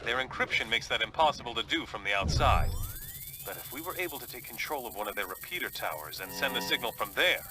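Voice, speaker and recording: An actor playing a male strategist in a game cutscene, explaining a plan in a measured way. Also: medium pitch, animated, close to an everyday voice, low-quality recording. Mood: measured, hopeful. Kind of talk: scripted game cutscene briefing.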